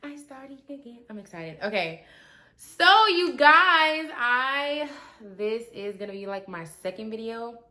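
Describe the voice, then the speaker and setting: Bright-voiced chatty young woman vlogger. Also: a little high, measured pace. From Milwaukee, Wisconsin. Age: 20s. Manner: chatty, animated, swings between loud asides and quiet trailing remarks. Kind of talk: casual confessional vlog.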